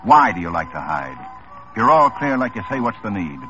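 A dry sarcastic police lieutenant. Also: male voice, on the low side, very animated, a little fast. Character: tough wry male police lieutenant. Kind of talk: 1950s radio drama acting. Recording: dull old radio broadcast with music.

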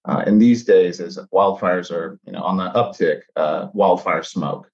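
A very low-voiced man from Mexico and Texas in his thirties, chatting casually as a podcast guest. He talks in a friendly, animated way, peppered with "uh" fillers. The recording sounds a little dull and lo-fi. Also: unhurried. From born in Mexico, raised in South Texas. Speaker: podcast guest, air pollution scientist. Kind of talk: casual interview talk.

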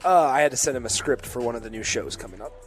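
A man's voice: medium pitch, lively intonation, quick pace, soft background music. A young man co-hosting a casual sports radio show from a bar, chatty and a bit hesitant, often restarting his sentences. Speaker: radio co-host. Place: bar and lounge.

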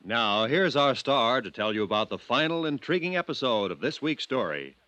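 A man's voice: mid-range voice, expressive, normal speed, pushed and bright, no echo. A man announcing in a scripted 1950s radio broadcast style.

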